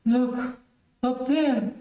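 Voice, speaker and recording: A man playing a dazed, grateful alien prince in an old-time radio serial, halting and awed. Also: very high-pitched, steady tone, warm tone, muffled recording, exaggerated voice. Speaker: amateur radio play actor. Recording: very echoey, distant streamed stage audio.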